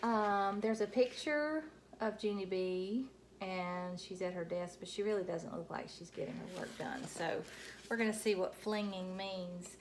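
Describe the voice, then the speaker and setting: A woman's voice: mid-range voice, measured pace. A woman introducing and reading a children's story aloud, explaining things to young listeners, with noise in the recording. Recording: noticeable background noise.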